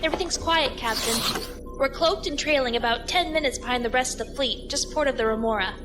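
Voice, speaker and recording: An actress playing a dutiful female pirate crew officer, briskly reporting in a science fiction audio drama. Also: high-pitched, music in the background, lightly acted. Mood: businesslike.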